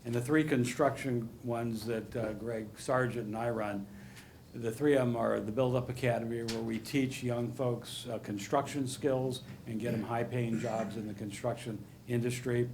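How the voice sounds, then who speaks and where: A man's voice: medium pitch, steady tone, normal speed, darkish tone, a little hiss or hum. A man presenting his home repair program to a city council, earnest and rambling, often correcting himself. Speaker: nonprofit program director. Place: council chamber.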